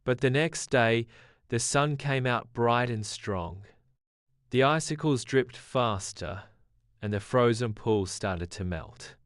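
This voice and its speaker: Male bedtime narrator, measured and soft, unhurried, mid-pitched. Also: no room sound, studio quality. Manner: gentle, measured. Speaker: storyteller. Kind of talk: scripted bedtime story narration.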